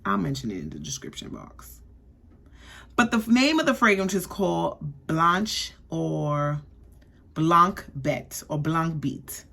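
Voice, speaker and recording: A woman's voice: sits low, big pitch swings, slow, vocal fry, pushed and bright. A young woman from the East Coast giving a chatty, sassy perfume review, often checking in with "okay?". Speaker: beauty vlogger.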